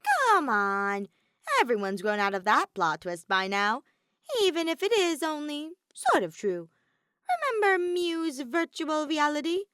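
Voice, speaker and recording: A woman's voice: high voice, sing-song, a little slow, fairly bright tone, dry recording, clean studio sound, voice pushed up, full character voice. A woman voicing a bratty, exasperated male robotic teddy bear villain in a fan fiction audio reading, theatrical and whiny. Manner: theatrical, whiny, griping outbursts.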